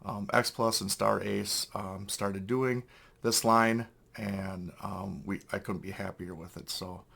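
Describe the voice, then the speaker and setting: A young man talks through a toy unboxing in a hoarse, worn-out voice, clearing his throat often. His voice sits fairly low and carries a heavy gravelly fry, and he rambles with plenty of fillers. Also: fairly even intonation, takes it slowly, fairly bright tone. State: hoarse, lost voice. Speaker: toy collector channel host. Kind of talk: casual unboxing review.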